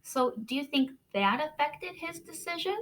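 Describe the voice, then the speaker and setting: A woman teacher reading aloud to children expressively, with deliberate dramatic pauses and questions for her young listeners. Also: sits high, lively intonation, a bit bright.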